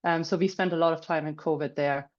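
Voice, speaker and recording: A woman's voice: sits low, fairly even intonation, fast talker, dull audio. A middle-aged woman with a German accent, giving a casual webinar talk with plenty of fillers and restarts over a video call. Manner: chatty, many fillers and restarts. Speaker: webinar speaker. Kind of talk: casual presentation.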